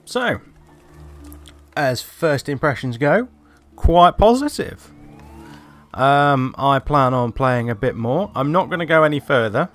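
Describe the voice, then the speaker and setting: A man's voice: mid-range voice, expressive, takes it slowly, faint music behind. A man giving casual first-impressions commentary as he plays a video game. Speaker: game reviewer and streamer.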